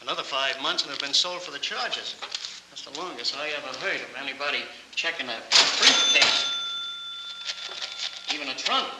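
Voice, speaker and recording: An actor playing a chatty, joking baggage storage clerk in a 1940s film. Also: male voice, on the high side, animated, normal speed, close to an everyday voice. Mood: chatty, amused. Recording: echoey, very noisy old film soundtrack.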